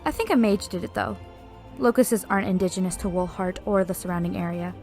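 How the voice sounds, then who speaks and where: A woman playing a somber young village woman haunted by a massacre, in an earnest, halting audio drama delivery. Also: lowish voice, darkish tone, close to an everyday voice. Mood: guarded, matter-of-fact. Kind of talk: dramatic audio roleplay acting. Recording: music bed under the voice.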